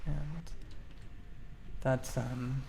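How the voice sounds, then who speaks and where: A man giving casual livestream commentary as he plays a game, answering questions in a thoughtful, halting, reflective way. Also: mid-range voice, steady tone, gravelly, background noise. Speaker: game streamer.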